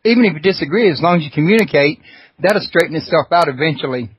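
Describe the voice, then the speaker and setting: A man's voice: fairly high pitch, lively intonation, fast talker, slightly rough, rough recording. A man from Houston, hosting a radio talk show in an easygoing, joking way. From Houston, Texas.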